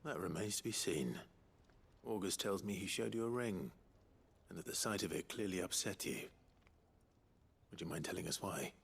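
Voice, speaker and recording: An actor playing a calm, stern rebel leader with a husky voice and a measured, authoritative manner in a video game. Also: male voice, low-pitched, heavily acted. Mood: calm, probing.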